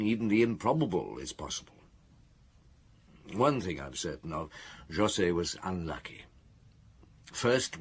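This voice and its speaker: A middle-aged actor playing a weary, reflective chief inspector in a radio drama, speaking in a measured, ruminative way. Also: male voice, low voice, a little hiss or hum. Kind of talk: radio drama dialogue and recollection.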